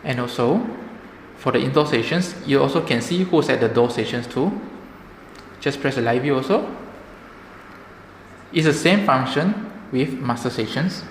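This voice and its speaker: A man's voice: mid-range voice, expressive, normal speed, long pauses. A man presenting a product demonstration, explaining things simply, step by step. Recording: echoey reverberant room, background noise.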